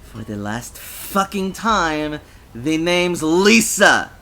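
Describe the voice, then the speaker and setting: A man's voice: on the high side, sing-song, slow, pitched above the performer's own voice, exaggerated voice. A man voicing a fierce, protective woman activist who talks bluntly, in a narrated story. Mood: furious. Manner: forceful, blunt, commanding.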